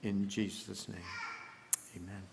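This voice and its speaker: A man's voice: low voice, level tone, unhurried, creaky, background noise. A man leading a solemn prayer over a congregation before introducing the speaker. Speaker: church leader leading prayer. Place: church sanctuary. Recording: long church-hall reverb.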